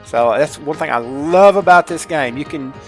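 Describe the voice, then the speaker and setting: A man's voice: a little high, moderate pace, soft background music. A middle-aged man giving a casual, folksy step-by-step game tutorial on a headset, addressing his viewers informally. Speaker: gameplay tutorial host.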